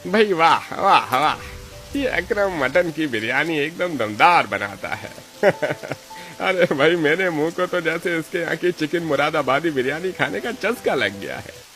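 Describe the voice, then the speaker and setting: A man's voice: on the high side, lively intonation, a little slow, sharp tone, faint music behind, exaggerated voice. Man playing a scornful, stingy village grocer. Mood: gloating, relishing. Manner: gruff, scornful, blunt. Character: stingy, rude, gloating male village grocer. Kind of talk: Hindi animated moral story acting.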